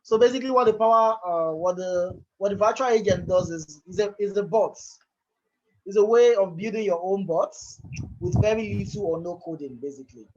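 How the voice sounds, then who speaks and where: A man with a breathy, somewhat raspy voice that sits high for his sex walks viewers through a software demo. He talks casually, circling back and restarting phrases as he goes. The sound is dull and lo-fi, like a computer mic on an online call. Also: moderate pace. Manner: loose, conversational, repeats and restarts phrases. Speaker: presenter. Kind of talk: live screen-share software tutorial.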